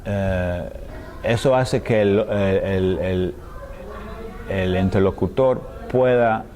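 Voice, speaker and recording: Slow, deep-voiced man, hesitant. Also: darkish tone, slight background noise. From United States. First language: English. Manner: hesitant, with many pauses, fillers and restarts; often ends phrases with a questioning tag. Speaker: interviewee, scholar. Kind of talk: thoughtful conversational interview answers.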